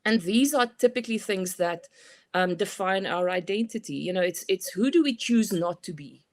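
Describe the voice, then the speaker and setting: A woman's voice: mid-range voice, animated, moderate pace. A middle-aged woman with a South African accent, a guest expert explaining her ideas in a thoughtful, conversational way with many "you know" fillers. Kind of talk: conversational interview.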